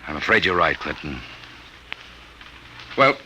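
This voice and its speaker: An actor playing a grave, protective American army major in an old-time radio drama. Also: male voice, rumbling low, sing-song, measured pace, pushed and bright, full character voice, rough recording. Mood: grave, concerned. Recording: vintage radio recording with hiss.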